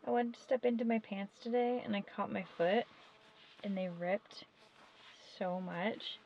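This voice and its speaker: A woman's voice: medium pitch, unhurried, gravelly, a little mellow. A young woman chatting casually as a vlogger from behind the camera in a van. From Canada. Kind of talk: casual chat. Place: inside a small camper van. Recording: dull sound with background noise.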